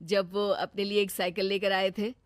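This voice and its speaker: A woman's voice: mid-range voice, little pitch movement, normal speed, no echo, lightly acted. A woman voicing a cheerful, easygoing young working man who dotes on his mother.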